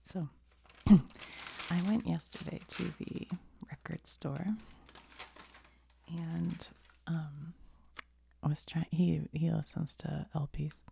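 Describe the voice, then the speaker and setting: A middle-aged woman telling a rambling story in a soft, unvoiced whisper, with little asides as she goes. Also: low voice, steady tone, takes it slowly, gravelly, long pauses, slight background noise. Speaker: video creator.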